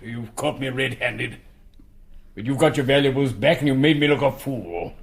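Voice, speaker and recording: An actor with a British accent playing an older confidence trickster posing as a colonel in a radio drama, polite, blustering and gentlemanly. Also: male voice, medium pitch, normal speed, creaky, lightly acted. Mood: defeated. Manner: polite, blustering, old-fashioned gentlemanly speech.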